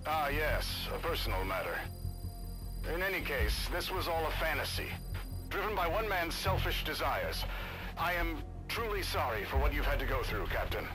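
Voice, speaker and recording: An actor playing a composed, formal research director in a video game cutscene, speaking in a measured, diplomatic way. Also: male voice, fairly high pitch, big pitch swings, poor audio. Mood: apologetic, persuasive. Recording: loud music behind.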